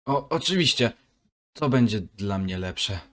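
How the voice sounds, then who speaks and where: A man's voice: on the low side, expressive, unhurried. A man voicing a pompous, anxious, greedy royal deputy in a cartoon fan dub, speaking in theatrical, archaic, flowery phrases. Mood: dazed, deferential. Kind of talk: fan-dub comic acting. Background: music.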